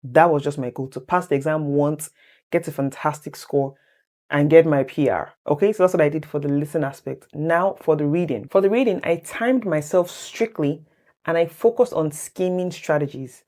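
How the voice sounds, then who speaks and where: A woman in her thirties telling her exam story to viewers in a chatty, animated way. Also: sits low, moderate pace. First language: English. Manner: animated, chatty, addresses viewers as guys, laughs easily. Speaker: vlogger sharing exam tips. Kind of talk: casual personal storytelling to camera.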